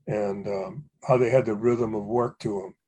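An older man from Hawaii telling stories about fellow poets in a relaxed conversation, with frequent fillers and restarts. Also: fairly low pitch, normal speed, breathy edge, low-quality recording. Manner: rambling, many fillers and restarts, warm anecdotes. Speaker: poet and guest. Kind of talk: reflective storytelling conversation.

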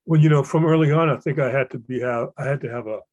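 An older man from Hawaii telling stories about fellow poets in a relaxed conversation, with frequent fillers and restarts. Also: mid-range voice, quick pace, lo-fi sound. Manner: rambling, many fillers and restarts, warm anecdotes. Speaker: poet and guest. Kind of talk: reflective storytelling conversation.

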